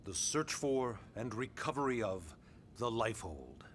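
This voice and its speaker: Game commander, middle-aged: lowish voice, composed authority, deliberate pauses, faint rumble behind. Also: male voice, sing-song. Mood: explaining, resolute. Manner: measured, deliberate, with weighty pauses. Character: composed, authoritative military commander of a human colony. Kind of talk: video game cutscene acting, expository dialogue.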